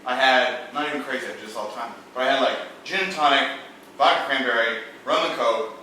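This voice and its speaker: A young man tells a loose, rambling story to friends, swearing now and then and laughing through asides. His voice is bright. The recording is very echoey and picked up from a distance, with faint noise behind him. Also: mid-range voice, brisk. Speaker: storyteller in a drinking game. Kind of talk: casual chat, rambling storytelling. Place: room indoors with friends. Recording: very echoey room, mic far off, faint noise.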